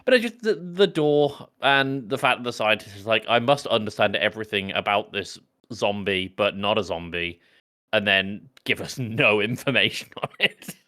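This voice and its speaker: A man's voice: lowish voice, moderate pace, bright, forceful tone. A man co-hosting a podcast in casual, playful, joking conversation. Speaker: podcast co-host. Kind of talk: casual comedic chat.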